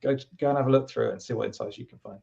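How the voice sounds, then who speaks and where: A man with a British accent, a guest from a product team, explaining at length in a relaxed, rambling way with lots of fillers. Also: mid-range voice, very fast, vocal fry, muffled recording. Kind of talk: casual interview talk.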